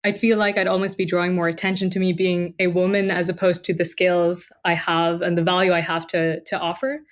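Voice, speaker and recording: A woman with a slightly low voice answers interview questions. She speaks earnestly and conversationally, thinking through her points as she goes. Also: little pitch movement, fairly quick. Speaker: podcast interviewee, tech worker.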